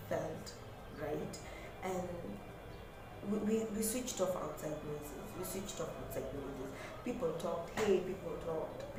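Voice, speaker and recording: A woman's voice: deep voice, heavy reverb, low-quality recording. A woman giving relationship advice in a half-whispered, repetitive, earnest way, recorded on a phone at her workplace by a road. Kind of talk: casual advice vlog. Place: workplace by a road, natural light.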